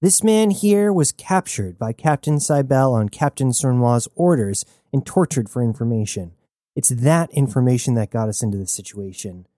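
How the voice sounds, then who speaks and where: Reckless heroine, bold and persuasive, lightly lifted pitch; narrator's take. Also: female voice, lively intonation, moderate pace, warm tone, dry recording, studio quality. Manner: brash, confident. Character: bold reckless young woman adventurer.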